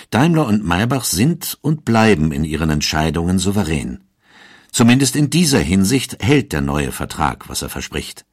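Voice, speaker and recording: Deep, husky male documentary narrator. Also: no echo, studio quality.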